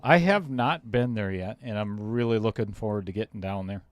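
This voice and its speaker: A man's voice: fairly low pitch, a little fast, no room sound, clean studio sound. A man doing casual play-by-play commentary on a pool match for a live stream. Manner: casual, chatty, reads viewer comments aloud.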